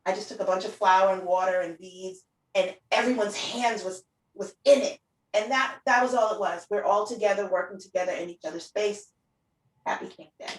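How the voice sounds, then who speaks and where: The sound is roomy and a little distant from the microphone. A middle-aged woman with a medium-pitched voice hosts a casual conversation. She talks in an anecdotal way, slipping into quoted remarks as she goes. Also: moderate pace. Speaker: interview host and preschool teacher. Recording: roomy echo, distant from the mic.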